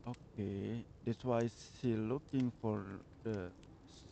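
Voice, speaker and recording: A man live-streaming a game, thinking aloud in halting, casual as he reacts to the dialogue. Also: fairly low pitch, fairly even intonation, vocal fry, warm tone. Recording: dull mic with faint noise.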